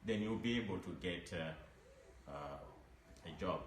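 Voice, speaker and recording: A man from Zimbabwe, a teacher giving informal advice in a halting way, full of restarts and repeated words. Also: deep voice. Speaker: English teacher. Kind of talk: informal advice talk to camera. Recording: echoey room, faint background noise.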